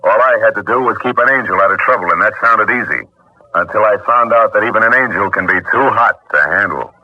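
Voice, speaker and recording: An actor playing a wry, hard-boiled private detective in a 1940s radio noir drama, with punchy delivery. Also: male voice, lowish voice, quick pace, background noise, lightly acted. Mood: wry narration. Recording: dull vintage radio recording with music.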